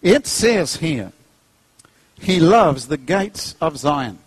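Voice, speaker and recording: A middle-aged man with a New Zealand accent preaching an animated, humorous sermon, jumping into playful voices. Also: very slow, slightly rough, dry recording, low-quality recording. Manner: jokey, acts out characters, pitch leaps high.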